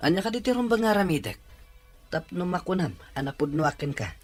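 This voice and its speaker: A man's voice: high-pitched, sing-song, a little fast, soft background music. Stubborn young Filipino laborer, played by a man. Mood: evasive. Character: hardworking, stubborn young male copra laborer. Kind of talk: Ilocano audio drama acting.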